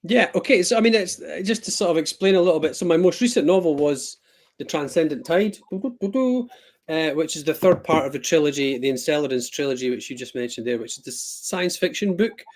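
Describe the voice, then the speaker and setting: A man's voice: fairly high pitch, lively intonation, a little fast. Scottish man with a raised voice. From Scotland. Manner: rambling, self-deprecating, many ums and restarts. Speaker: author guest. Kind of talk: casual live-stream chat.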